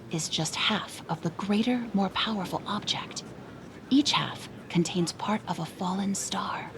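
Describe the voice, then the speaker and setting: A low-voiced, knowing lore keeper. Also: female voice, background noise. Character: sly, knowing woman sharing secret lore with a detective. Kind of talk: video game cutscene acting.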